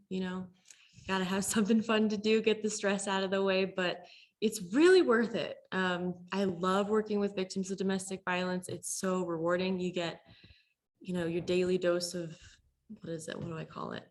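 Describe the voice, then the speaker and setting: Chatty woman advocate, mid-pitched. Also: fairly even intonation, normal speed. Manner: upbeat, chatty, laughs easily. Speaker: domestic violence advocate. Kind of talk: panel discussion.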